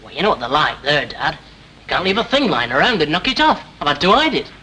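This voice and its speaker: Young northern English son, easygoing, high voice, lively pitch, vintage soap drama, slight noise. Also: male voice, fast talker. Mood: casual. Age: young adult. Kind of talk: 1960s British television soap acting. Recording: old noisy 1960s television soundtrack.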